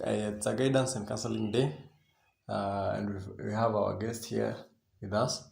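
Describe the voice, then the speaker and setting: Man acting as gravelly, halting deputy principal. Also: lowish voice, a little mellow, echoey room. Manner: halting, hesitant, repeating phrases. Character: male deputy principal, admonishing, fatherly school authority. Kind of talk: radio drama assembly address.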